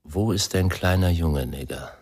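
An actor playing a menacing, sneering criminal in a radio crime drama, threatening and contemptuous. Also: male voice, sits low, a little slow, darkish tone, breathy edge.

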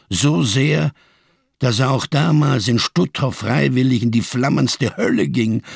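A man's voice: mid-range voice, dry recording, studio quality, exaggerated voice. An actor in a radio drama playing a scarred, hard-drinking survivor of the camps, solemn and rhetorical, bitterly defiant in his grief. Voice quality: gravelly, husky. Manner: solemn, rhetorical, swinging between hushed and impassioned.

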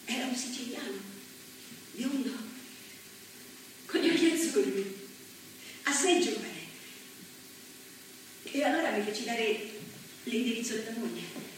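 An actress playing a woman politician recalling the postwar years in a slow, measured, solemn monologue on a stage. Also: mid-range voice, close to an everyday voice. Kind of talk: theatrical monologue. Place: theatre stage. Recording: echoey stage from far off, faint hiss.

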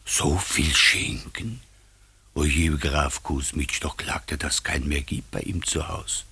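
Grumbling middle-aged magistrate, radio drama: very hoarse-grained, forceful, blustering and stammering. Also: male voice, extremely low pitch, slow, full character voice. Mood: uneasy. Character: flustered, grumbling older male examining magistrate. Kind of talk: 1950s German radio play acting. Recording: 1950s radio drama recording.

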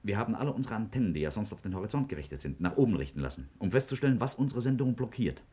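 A deep-voiced scientist takes charge in a 1950s German radio play. He explains with measured confidence, his pitch moving freely as he lectures. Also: big pitch swings, mellow. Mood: brisk, focused. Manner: didactic, deliberate explaining.

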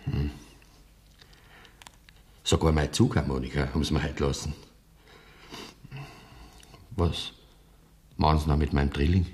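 An actor playing a condemned poacher in a German radio play, a wistful, plain-spoken rustic son with a Bavarian accent. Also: male voice, a bass voice, steady tone, unhurried, long pauses, darkish tone. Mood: tender, wry.

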